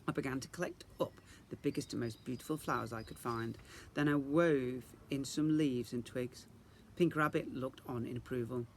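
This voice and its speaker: A man reading a children's story aloud as a teacher would, in an expressive narrating style. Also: medium pitch, normal speed, a little hiss or hum.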